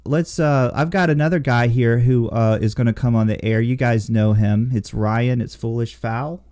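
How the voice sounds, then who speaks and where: A man's voice: medium pitch, normal speed, a little mellow. A man co-hosting a casual talk show, speaking in an emphatic, rambling and profane way. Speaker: podcast co-host. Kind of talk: casual chat.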